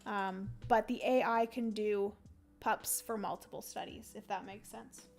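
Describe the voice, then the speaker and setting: A young woman on a livestream, talking through her moves in a solo board game and chatting with viewers, her voice going a little hoarse. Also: medium pitch, fairly even intonation, measured pace, a little mellow, faint music behind. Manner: chatty, thinks aloud, greets chat viewers. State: losing her voice. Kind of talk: casual livestream play-by-play.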